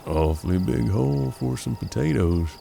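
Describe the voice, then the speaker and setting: An actor playing a seasoned, gruff frontier detective in a western audio drama, measured and world-weary, with a gravelly voice. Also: male voice, fairly low pitch, animated, dark tone, a little hiss or hum. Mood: grave, watchful.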